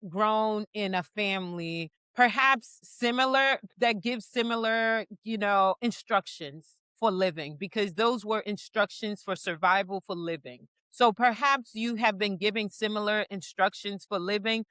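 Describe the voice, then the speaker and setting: A woman's voice: mid-range voice, measured pace, hardly any pauses, bright, forceful tone, clear, resonant tone, no echo. A woman counselor in a faith-based podcast conversation who speaks earnestly and addresses listeners directly.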